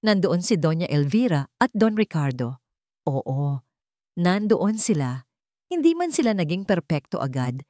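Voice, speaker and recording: Woman reading a dramatic love story, mellow lowish voice, really dry sound, sentimental delivery. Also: dry recording. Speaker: narrator. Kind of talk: dramatic scripted romance story narration.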